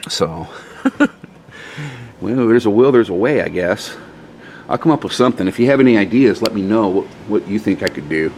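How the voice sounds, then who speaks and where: A man in a casual, hesitant show-and-tell, thinking out loud like a DIY vlogger in an informal, rambling way. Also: lowish voice, animated, normal speed. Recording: clearly noisy, radio chatter at times.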